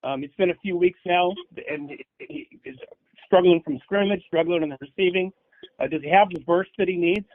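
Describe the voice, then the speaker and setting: Heard down a tinny telephone line, this man has a notably high voice. He is a sports reporter putting questions on a press call. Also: normal speed. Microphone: phone on conference call.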